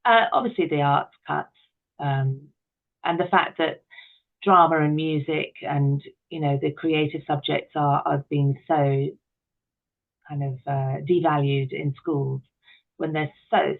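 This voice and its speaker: Middle-aged woman with a gravelly, creaky voice. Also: deep voice, steady tone, a little slow, phone-quality sound. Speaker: interview guest, writer and producer. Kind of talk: reflective interview chat.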